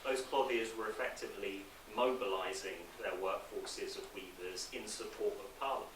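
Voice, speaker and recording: A man answering questions on an academic conference panel, speaking in a measured, formal way with hesitations. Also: on the low side, steady tone. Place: conference room, indoors. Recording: distant echoey conference room, clear noise.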